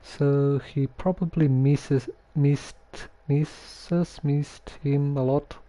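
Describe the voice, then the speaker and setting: A low-key streamer musing over a game in casual commentary. The voice is soft-edged and mellow, slow and hesitant, at a medium pitch. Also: steady tone, low-quality recording.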